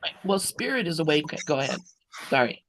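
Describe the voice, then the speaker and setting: Higher-voiced man with heavy vocal fry. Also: very animated, brisk, a bit bright, a little hiss or hum, low-quality recording. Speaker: study group participant. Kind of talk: informal reflective spiritual discussion.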